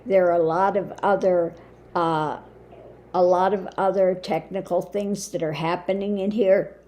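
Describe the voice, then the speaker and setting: Woman host, low and mellow, voice worn down after surgery, unhurried and halting. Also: steady tone. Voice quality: lowered, limited voice. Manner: slow, halting, with many fillers. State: voice weakened after throat surgery. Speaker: spiritual channel host. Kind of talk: casual monologue to camera.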